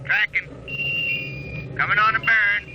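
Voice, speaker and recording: A man's voice: sits high, fairly even intonation, slow pace. A man playing a tense male gunnery officer giving terse reports in a 1950s radio drama. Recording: old radio with faint hiss.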